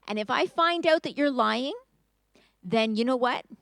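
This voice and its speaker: A stern governor threatens a band of suspected spies in a children's Bible story, as a woman puts him on. The voice is high and delivered close and dry, with a firm, warning tone. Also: animated, a little slow, gravelly, a bit bright, no room sound, heavily acted. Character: stern, suspicious Egyptian governor, a grown man.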